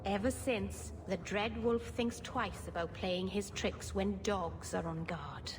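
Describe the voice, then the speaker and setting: A woman voicing a gentle, innocent young elf in a video game, with an earnest storytelling manner. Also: mid-range voice, slow, noisy background, close to an everyday voice. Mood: dramatic storytelling. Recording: long hall reverb, music behind.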